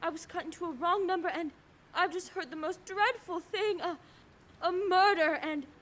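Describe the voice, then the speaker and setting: High-voiced, fretful, demanding wife played by an actress. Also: female voice, moderate pace, fairly bright tone, slight background noise. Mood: horrified. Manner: melodramatic, insistent, demanding. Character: nervous, imperious bedridden wife, alone and increasingly alarmed. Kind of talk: suspense radio play acting.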